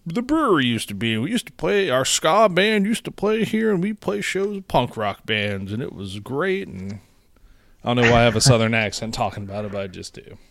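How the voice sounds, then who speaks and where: A man's voice: mid-range voice, very animated, brisk, vocal fry, a bit bright, no echo. A young man from North Carolina co-hosting a casual podcast, telling stories in a rambling way with plenty of swearing and laughs. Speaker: podcast co-host. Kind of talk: casual chat.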